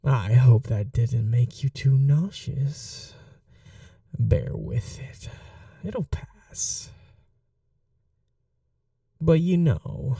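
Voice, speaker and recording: A performer plays an obsessed, starving vampire in an ASMR roleplay. The voice is very dark and gravelly, swinging expressively between murmurs and breathy whispers. It lingers possessively over the words, hungry and coaxing. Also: mid-range voice, animated, dry recording, clean studio sound, far from the mic, heavily acted. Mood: sated, gloating. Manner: hushed, slow, lingering, often dropping into whispers.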